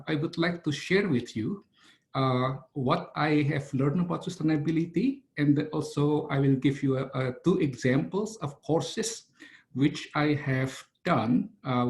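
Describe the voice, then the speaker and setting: A middle-aged man from Indonesia, giving a webinar lecture over a video call, halting and full of "uh" fillers and restarts. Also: mid-range voice, darkish tone. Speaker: engineering professor.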